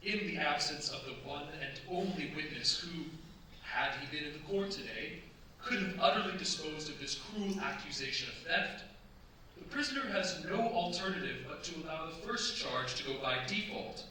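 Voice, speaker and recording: Radio play actor: young male advocate, earnest, oratorical, bright-toned, distant in a booming hall. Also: expressive, normal speed. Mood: formal, impassioned. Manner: formal, oratorical. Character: earnest young male defence lawyer, formal and determined. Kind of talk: staged radio play acting. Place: staged performance in a cultural centre. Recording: echoey hall, distant mic, stage noise.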